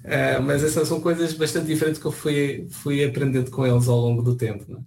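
A man's voice: medium pitch, fairly even intonation, brisk, darkish tone. A man from Portugal with a European Portuguese accent, talking as an interview guest and often restarting and repeating words. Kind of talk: casual conversational interview.

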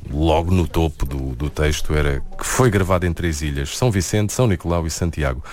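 Super deep voiced guy, interviewer, careful with words. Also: darkish tone. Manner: measured, searching for words. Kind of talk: interview questions.

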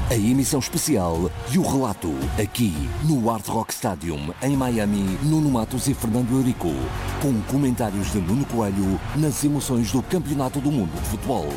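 A man with a European Portuguese accent reading a scripted sports promo for radio. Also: lowish voice. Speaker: promo announcer.

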